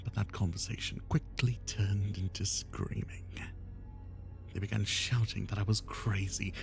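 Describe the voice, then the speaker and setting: Obsessive male kidnapper for dramatic ASMR, played by a man: tender yet menacing, low gravelly murmur sliding into whispers, confiding and anguished. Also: dark tone. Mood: bitter confiding. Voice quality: gravelly, often breathy whisper. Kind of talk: roleplay ASMR monologue, intimate and dramatic.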